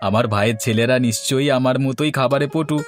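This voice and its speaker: Casting: male actor as fretful village family man for a cartoon, mid-pitched, food-loving, light music underneath. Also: fast talker, lightly acted. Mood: fretful, chatty. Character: worrying, big-eating village husband and uncle. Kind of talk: cartoon dialogue. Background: instrumental music.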